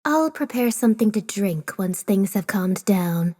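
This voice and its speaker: Audiobook fantasy role: serene flower-and-tea goddess, female actor, warm dark timbre, courteous and composed. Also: mid-range voice. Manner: calm, measured.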